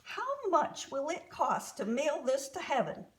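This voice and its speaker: A woman's voice: high-pitched, big pitch swings, unhurried, voice pushed up. A woman voicing an earnest, polite young boy who is generous and eager in a children's storybook. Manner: earnest, polite, wide-eyed.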